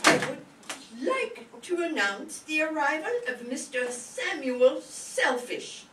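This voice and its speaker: Woman as fussy old housekeeper, very slow, ceremonious, theatrical, distant echoey recording. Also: high voice, sing-song, heavily acted. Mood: dutiful, ceremonious. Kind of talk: soap opera parody radio acting. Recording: echoey stage, far mic, clear noise.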